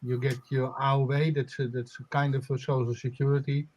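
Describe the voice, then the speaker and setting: A man's voice: mid-range voice, level tone, darkish tone, muffled recording. Hesitant man in his sixties. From Netherlands. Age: 60s. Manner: hesitant, many filler sounds and pauses. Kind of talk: casual group chat on a video call.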